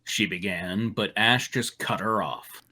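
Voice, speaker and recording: Bright-voiced man reading fan fiction. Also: on the low side, measured pace. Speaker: narrator and commentator.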